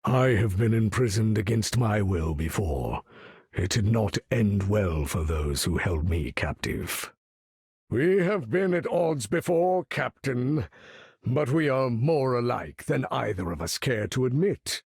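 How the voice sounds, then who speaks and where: An aging, proud mutant master for a video game. The voice is gravelly and slow, with theatrical swells and formal, commanding diction. He sounds every bit the imperious leader. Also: on the low side, expressive, a little mellow, dry recording, studio quality, exaggerated voice. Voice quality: gravelly, husky. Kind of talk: video game character voice acting.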